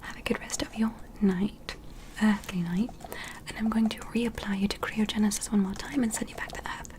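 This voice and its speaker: A woman playing a polite, curious alien medical examiner in an ASMR roleplay, speaking in a breathy whisper with a gentle, clinical, questioning manner. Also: mid-range voice, fairly even intonation, moderate pace, close to an everyday voice. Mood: grateful, soothing.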